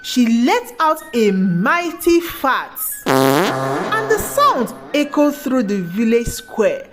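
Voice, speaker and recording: High, lively woman storyteller, unhurried. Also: over music. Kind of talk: scripted folktale narration.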